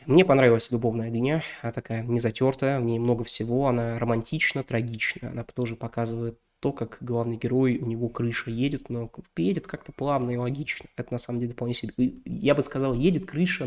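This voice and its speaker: Young man, quick rambling delivery, slightly under the weather, podcast book talk. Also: medium pitch, a little mellow. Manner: rambling, many fillers and self-corrections. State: slightly ill. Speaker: podcast host reviewing a novel. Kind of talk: casual spoken book review.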